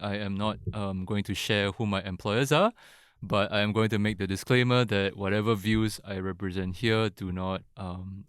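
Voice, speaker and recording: A low-pitched man from Singapore chats as a podcast guest, laughing easily and restarting his sentences. The sound is close and dry. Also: smooth, dry recording. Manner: chatty and laughing, with many likes and restarts. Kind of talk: casual podcast conversation.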